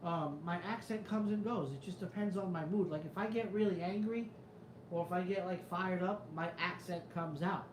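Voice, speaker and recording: A man's voice: high voice, normal speed, reverberant, background noise. A man with a New York Italian-American accent hosting a casual live chat, rambling, skeptical and swearing freely. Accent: New York Italian-American, comes and goes. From New York area. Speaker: show host reading live chat.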